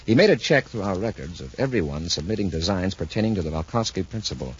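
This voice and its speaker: An actor playing a calm, authoritative space patrol commander with a husky voice in a 1950s radio serial. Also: male voice, deep voice, fast talker, a little hiss or hum. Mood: explaining. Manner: decisive, patiently explanatory. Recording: muffled 1950s radio recording.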